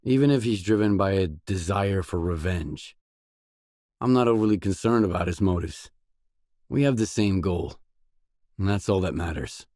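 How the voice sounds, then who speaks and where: An actor playing a determined, brooding stalker in a grim video game, with a measured, serious, understated delivery. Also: male voice, dark tone, no room sound, clean studio sound, natural voice. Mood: calm, serious. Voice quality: low, husky. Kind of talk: game voice acting, isolated dialogue lines.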